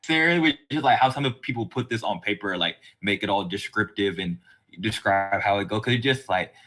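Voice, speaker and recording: A man's voice: lowish voice, a little fast, sharp tone, soft background music. A man hosting a casual podcast chat, talking in a rambling way that circles around his points. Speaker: podcast host.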